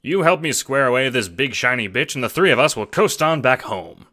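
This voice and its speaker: A brash gangster schemer for an audio drama. He talks in cocky, jovial old-time wiseguy fashion, with a bright, punchy edge. He sounds sure of himself and out for his own gain. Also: medium pitch, expressive, fairly quick. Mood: eager, scheming. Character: scheming, brash, egotistical male gangster adventurer.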